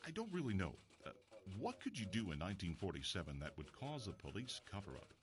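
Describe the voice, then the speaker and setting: Deep-voiced, earnest male investigator. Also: very animated, fast. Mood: earnest, probing. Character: earnest, inquisitive male investigator narrating a ghost mystery. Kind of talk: audio drama acting with first-person narration.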